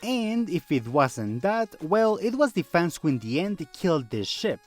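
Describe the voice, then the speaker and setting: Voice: medium pitch, big pitch swings, unhurried, soft background music. A video essayist narrating a scripted fandom video in a conversational way, with rhetorical questions and wry asides.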